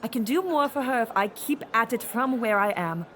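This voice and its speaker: A woman's voice: a little high, expressive, fairly quick, a bit bright, faint music behind. An actress playing a cautious, worried, responsible woman in an audio drama, hesitant and proper. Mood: worried reasoning.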